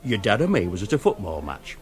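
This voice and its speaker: A grumbling older working-class man with a Northern English accent. He tells his tales with indignant gusto, his pitch swinging lively up and down. It sounds like a radio drama. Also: fast.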